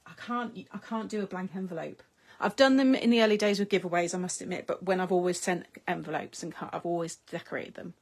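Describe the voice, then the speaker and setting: A woman's voice: fairly low pitch, steady tone, fast, few pauses, darkish tone. A middle-aged British woman from the UK, chatting casually with viewers on a crafting livestream, reading their comments aloud and laughing often. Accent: British English. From United Kingdom. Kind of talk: casual live chat while crafting.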